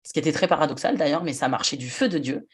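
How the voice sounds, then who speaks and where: A woman's voice: low-pitched, quick pace, breathy edge. A woman who was once a nurse, hosting a podcast conversation and talking things through in a reflective way with frequent restarts. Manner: reflective, thinks aloud with many restarts. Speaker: podcast host, former nurse.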